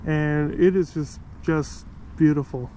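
A man's voice: on the high side, steady tone, slow, mellow, a little hiss or hum, low-quality recording. A man narrating a walking tour of a city park outdoors as a travel vlogger, talking enthusiastically and correcting himself as he goes. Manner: enthusiastic, rambling with self-corrections.

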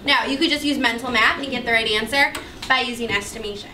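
A young woman teaching a class, giving clear directions and prompting students with questions. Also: a little high, fast talker, far from the mic. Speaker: math teacher. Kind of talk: classroom instruction to children. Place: indoor school classroom with students. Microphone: distant camera microphone. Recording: roomy, noisy classroom, picked up from afar.

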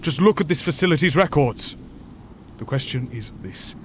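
A man plays an ancient imprisoned creature in a sci-fi horror audio drama. He speaks formally and gravely, with measured courtesy, over noticeable background ambience. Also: on the high side, lively intonation, slight background noise, close to an everyday voice. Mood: solemn, urgent. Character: polite, solemn ancient male creature, imprisoned and doom-prophesying.

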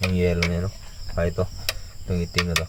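A man's voice: low voice, completely flat delivery, fast, very noisy. A man casually explaining a hands-on repair, informally tossing in short tag questions, like a tutorial vlogger.

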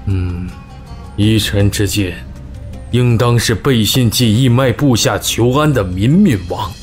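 An actor playing a shrewd, deferential royal advisor in a costume audio drama, speaking in a measured, deliberate, scheming way. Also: male voice, lowish voice, faint music behind. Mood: sly, assured. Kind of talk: Chinese costume radio drama acting.

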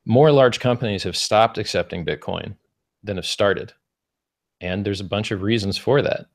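A man's voice: lowish voice, moderate pace, gravelly. A man in his thirties hosting a debate, laying out arguments point by point with frequent uhs. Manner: lists points methodically, fillers of uh. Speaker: host, debate moderator. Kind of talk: debate moderating. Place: ornate room with candelabra, indoors. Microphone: studio mic on arm.

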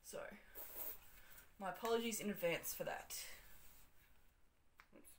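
A young Australian woman vlogging her morning at home, chatty and rambling, with a slightly croaky voice. She talks into her phone camera in a small bedroom and corrects herself often. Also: medium pitch, slow pace, a little hiss or hum. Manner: chatty, rambling, self-correcting with frequent ums. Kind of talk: casual day-in-the-life vlog. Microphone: phone camera, handheld or propped up.

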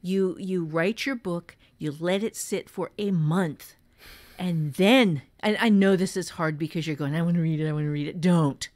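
A woman's voice: fairly low pitch, moderate pace, a little mellow. A woman co-hosting a podcast, giving blunt, emphatic writing advice in a conversational way. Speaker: writing teacher and podcast co-host.

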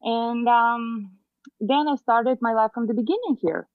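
A woman's voice: a little high, a little slow, no room sound. Woman with a Russian accent on a video call. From Moldova. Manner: chatty, hesitant, many fillers. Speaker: guest realtor. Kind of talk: casual interview. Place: home room, indoors. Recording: dry tinny video call audio.

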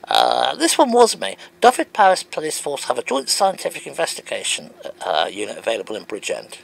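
Animated man with a gravelly voice. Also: a little high, brisk, rough recording. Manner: animated, wondering aloud, emphatic. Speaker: homeowner answering comments. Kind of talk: reading out and answering comments.

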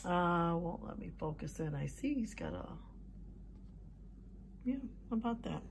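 A woman's voice: on the low side, animated, unhurried, vocal fry. Older lady chatting while filming at home, voice swings up n down, bit of background noise. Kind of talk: casual home chat behind camera. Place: living room and kitchen at home.

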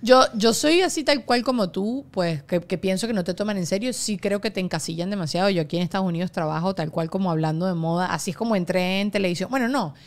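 A woman in her thirties hosting a studio podcast, chatty and quick to affirm her guest. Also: mid-range voice. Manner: chatty, frequent affirming "sí, claro". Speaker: podcast host, journalist. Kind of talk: casual podcast interview. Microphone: studio microphone on stand.

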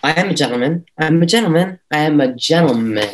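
A man's voice: on the high side, expressive, fast talker, faint music behind, lightly acted. A young man playing an awkward, insistent teenage schoolboy at a script read over a video call. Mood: compulsive. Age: young adult. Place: room at home.

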